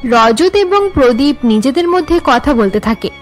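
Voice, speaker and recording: A woman's voice: sits high, lively intonation, moderate pace, faint music behind. A woman narrator reading scripted story narration for a cartoon drama.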